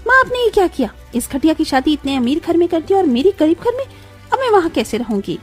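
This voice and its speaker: A woman's voice: extremely high pitch, very animated, quick pace, faint music behind, pitched above the performer's own voice. A woman voicing a spoiled, jealous young daughter, whining and indignant, in a cartoon family drama. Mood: outraged. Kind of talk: Hindi animated family-drama voice acting.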